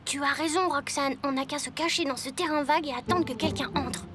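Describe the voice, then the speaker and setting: A woman's voice: sits high, fairly quick, over loud music, close to an everyday voice. A woman voicing a spirited schoolboy hero in a cartoon. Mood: urgent.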